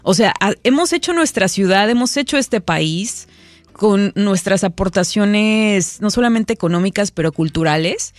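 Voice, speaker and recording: A woman's voice: mid-range voice, expressive, normal speed, no echo. A woman hosting a live public radio interview, chatting eagerly with interjections. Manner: warm conversational, eager interjections. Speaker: radio host. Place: radio studio. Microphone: studio microphone.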